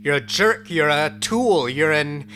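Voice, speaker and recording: An actor playing a gruff, sarcastic but protective partner in an audio drama, talking in a blunt, sweary, dry way. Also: medium pitch, animated, measured pace, a bit bright, soft background music. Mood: sarcastic banter.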